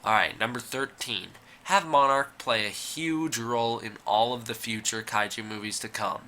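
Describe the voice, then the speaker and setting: A man's voice: mid-range voice, normal speed, pushed and bright. A young man with a cold, giving a rambling, opinionated casual commentary as a YouTuber, with frequent "uh" and "like". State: fighting a cold.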